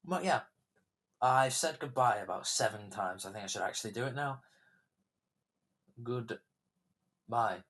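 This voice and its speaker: A man's voice: mid-range voice, measured pace, long pauses, fairly bright tone. Rambling young man hosting a podcast. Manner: rambling, chatty, many fillers and restarts. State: sore throat. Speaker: podcast host. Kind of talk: casual solo podcast chat.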